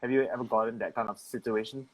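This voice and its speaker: A man's voice: medium pitch, steady tone, fast, slightly rough, rough recording. A man hosting a casual interview, rambling through long questions full of "like". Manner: rambling, many "like" fillers. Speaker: host.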